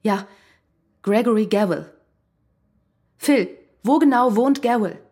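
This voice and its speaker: An actress playing a strained, defiant wife with blunt, curt delivery in a radio crime drama. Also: female voice, on the high side, animated, takes it slowly, a little mellow, heavily acted. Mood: agitated.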